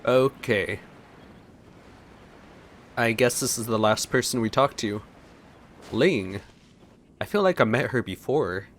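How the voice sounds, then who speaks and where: A man's voice: mid-range voice, takes it slowly, long pauses, slight background noise. A young man giving casual, easygoing let's play commentary with a playful, sarcastic touch.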